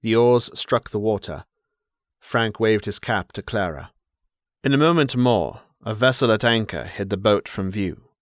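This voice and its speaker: A man with a fairly low voice narrates period fiction. He is a measured, dramatic storyteller, and the recording is dry and close, as in a studio audiobook. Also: no room sound. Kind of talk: scripted literary narration.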